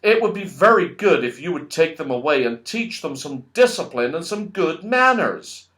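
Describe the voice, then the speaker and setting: Man voicing an earnest, high-voiced magical tree dweller. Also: very animated, moderate pace, a bit bright. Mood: earnest, respectful. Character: respectful, earnest round-faced male tree dweller pleading his case. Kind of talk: children's storybook character voice.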